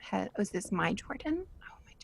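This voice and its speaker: A woman's voice: medium pitch, sing-song, normal speed, vocal fry, slightly rough, dry recording, in a whisper. A young woman chatting casually and informally on a panel, giving advice as a podcaster, often giggly.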